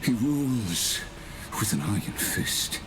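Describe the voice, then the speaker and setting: An actor voicing a weary, regretful immortal warrior in a video game, solemn and formal with halting phrases. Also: male voice, lowish voice, very animated, background noise. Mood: grave. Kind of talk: English game voice acting.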